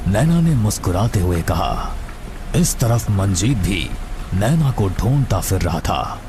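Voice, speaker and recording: A man narrating a scripted animated horror story. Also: lowish voice, slow pace, background noise. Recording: loud music and storm effects behind.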